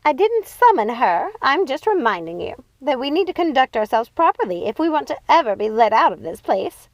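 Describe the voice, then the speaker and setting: A woman voicing a bossy, excitable witch sister in a storybook, emphatic and exasperated. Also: sits high, brisk, dry recording, voice pushed up. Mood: bossy, amused.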